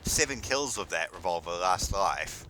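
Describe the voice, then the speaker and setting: A man's voice: low voice, expressive, normal speed, over a noisy crowd, poor audio. A young man with a New Zealand accent giving casual gameplay commentary and chatting. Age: young adult. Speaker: host of a gaming video. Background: faint game gunfire and artillery.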